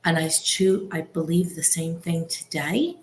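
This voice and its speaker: A middle-aged woman hosting a podcast, talking in a soft, measured, reflective way. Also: low voice. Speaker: podcast host, real estate broker. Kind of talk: casual podcast conversation.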